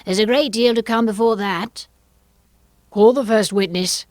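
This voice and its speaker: A woman voicing an imperious, impatient, bad-tempered storybook king sitting as judge, speaking in sharp commands. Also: mid-range voice, lively intonation, normal speed, dry recording, close to an everyday voice. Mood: commanding.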